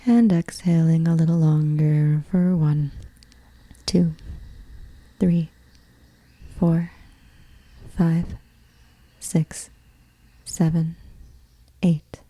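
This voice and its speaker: A woman guiding a meditation in a soft, breathy near-whisper, with soothing, measured delivery. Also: deep voice, fairly even intonation, dry recording, rough recording. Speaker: yoga nidra meditation guide. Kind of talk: guided meditation.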